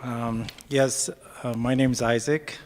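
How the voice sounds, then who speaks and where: A man from Ethiopia in the audience, asking a measured, polite question with some hesitant fillers. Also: medium pitch, steady tone, long reverb tail, a little hiss or hum.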